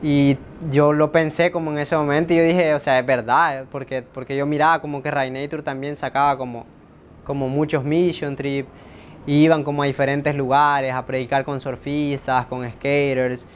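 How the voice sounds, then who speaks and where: Higher-voiced Salvadoran man giving testimony. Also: flat delivery, normal speed. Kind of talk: casual personal testimony in interview. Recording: faint low rumbling background noise.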